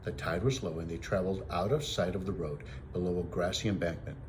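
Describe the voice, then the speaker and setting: A man with a fairly low voice reads a children's story aloud like a teacher. His narration is expressive, and there is faint noise in the recording. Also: brisk.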